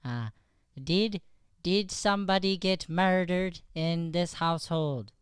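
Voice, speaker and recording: A man's voice: sits high, slow pace, clean tone, dry recording. A man playing a curious, eager young Irish lad in an improvised radio play, speaking hesitantly and earnestly with an Irish accent. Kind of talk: improvised old-time radio play acting.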